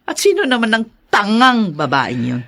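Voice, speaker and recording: A woman's voice: mid-range voice, exaggerated voice. A woman playing a haughty, contemptuous wealthy mother in a melodramatic audio drama. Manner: sharp, contemptuous, imperious. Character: haughty, scornful wealthy mother who belittles her son. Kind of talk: melodramatic radio-style drama acting.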